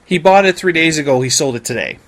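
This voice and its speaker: A man's voice: a little high, expressive, quick pace, fairly bright tone, a little hiss or hum. A man chatting casually on a podcast, telling stories in a jokey way and acting out the dialogue. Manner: jokey, swearing, acts out quoted dialogue. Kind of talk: casual podcast chat, animated storytelling.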